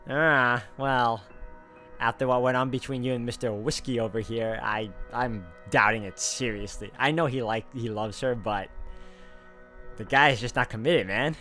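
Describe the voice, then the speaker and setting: Man, mid-pitched voice, reads game text aloud steadily, casual asides, loud background music. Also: normal speed. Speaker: gameplay narrator. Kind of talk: reading visual novel text aloud with asides.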